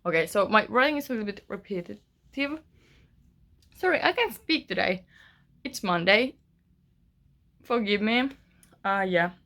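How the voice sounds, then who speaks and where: A woman's voice: medium pitch, expressive, moderate pace, long pauses, bright, forceful tone. Rambling Finnish woman vlogging. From Finland. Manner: rambling, many fillers and restarts. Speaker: author vlogger. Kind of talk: casual vlog update. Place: bedroom.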